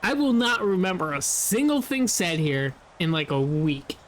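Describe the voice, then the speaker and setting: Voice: mid-range voice, animated, normal speed, slight background noise. A young adult gamer talking loosely over gameplay, rambling, laughing often and swearing casually. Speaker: gameplay video creator.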